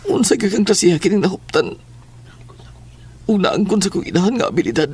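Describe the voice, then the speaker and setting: A man playing a troubled young son who narrates his fear in a horror audio drama, earnest and halting. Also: high-pitched, lively intonation, darkish tone, husky. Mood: fearful, pondering.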